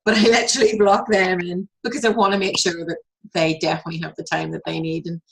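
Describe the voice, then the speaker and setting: A woman's voice: on the low side, little pitch movement, fast talker, vocal fry, poor audio. A woman hosting an interview podcast, chatting in a loose, conversational way with frequent restarts. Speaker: podcast host.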